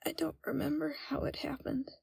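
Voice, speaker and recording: A woman playing a timid, frightened young captive in an audio roleplay, speaking in a hesitant, hushed and halting way. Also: sits high, flat delivery, vocal fry, no room sound. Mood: pleading, tearful. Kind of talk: scripted audio roleplay, ASMR.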